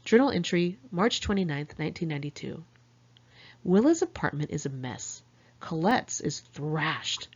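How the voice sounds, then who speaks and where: A woman with a fairly low voice reads from her own memoir in a dull, lo-fi home recording. Her delivery is candid and conversational, as if confiding personal diary entries to the listener. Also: big pitch swings, moderate pace, breathy edge. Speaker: author reading own memoir. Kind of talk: first-person memoir audiobook reading.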